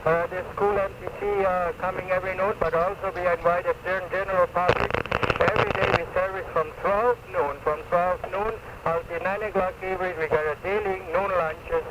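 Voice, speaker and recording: A man who is not a native English speaker, talking haltingly with irregular grammar as a radio guest promoting his restaurant. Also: sits high, steady tone. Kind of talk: casual promotional interview. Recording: noisy muffled 1970s AM radio cassette recording.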